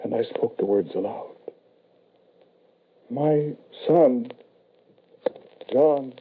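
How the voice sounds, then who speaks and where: A man's voice: mid-range voice, drawn-out words. An actor playing a frail, grieving older father, hesitant and pleading, in a 1940s radio horror style. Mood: stunned dread. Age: middle-aged or older. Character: frail, grieving father, anxious and desperately loving. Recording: noisy, tinny old-time radio recording.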